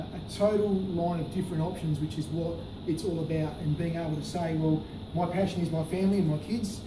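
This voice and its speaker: A loud, friendly man rambles quickly through a talk, full of "uh"s and asides. He sounds set back from the mic in an echoey hall, with plenty of noise behind him. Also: fairly high pitch. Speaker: business presenter. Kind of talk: speaking at a meeting. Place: packed meeting room. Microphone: handheld microphone held away. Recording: echoey room, distant mic, noisy.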